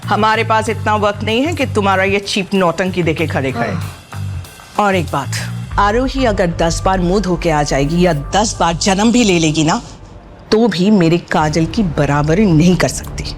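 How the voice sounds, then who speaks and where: A woman's voice: fairly low pitch, moderate pace, soft background music, close to an everyday voice. A woman playing a haughty, boastful middle-aged aunt in an audio drama, with a snide, theatrical, taunting manner. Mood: scornful. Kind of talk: Hindi audio drama acting.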